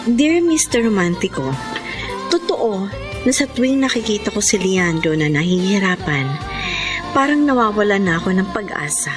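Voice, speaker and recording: A woman's voice: medium pitch, sing-song, normal speed, lightly acted. A woman with a Filipino accent playing a devoted, lovelorn young woman, tender and earnest, in a radio drama. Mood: anguished longing. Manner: earnest, emotive, consoling. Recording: music bed under the voice. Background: background music.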